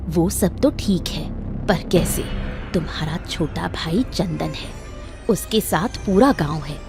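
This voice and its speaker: A woman's voice: lowish voice, lively intonation, takes it slowly, creaky, over music, heavily acted. Woman acting a resentful, nagging wife. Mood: doubtful. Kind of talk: Hindi cartoon moral story acting.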